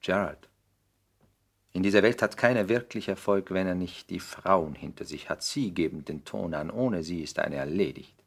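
Ein Schauspieler spielt in einem Hörspiel der 1960er einen zynischen englischen Aristokraten, geschliffen, weltgewandt und herablassend. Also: male voice, deep voice, moderate pace, creaky. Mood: witty, cynical. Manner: polished, epigrammatic, worldly, condescending. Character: suave, cynical English aristocrat dandy, lecturing a young man. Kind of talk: 1960s German radio play acting.

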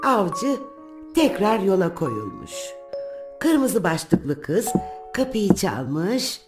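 A woman's voice: medium pitch, very animated, very slow, over music. A woman narrating a scripted children's fairy tale as a storyteller.

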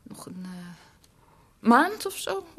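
An actress playing a devoted, anxious young woman in a radio drama, speaking gently and hesitantly with a breathy voice. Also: high voice, big pitch swings, slow, exaggerated voice. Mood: hesitant, promising.

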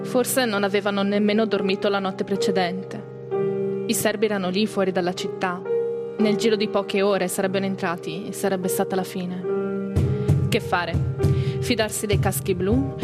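A woman's voice: medium pitch, close to an everyday voice. A woman acting a grave bereaved narrator over music. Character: bereaved young Bosnian woman recalling her uncle's flight from war. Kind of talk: dramatic literary monologue in radio theatre. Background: live music under the voice.

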